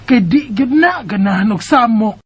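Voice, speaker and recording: A woman's voice: fairly high pitch, animated, moderate pace, muffled recording, close to an everyday voice. A woman with a Bisaya accent playing a homely, chiding middle-aged mother in a radio drama. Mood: soothing, dismissive. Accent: Filipino Bisaya.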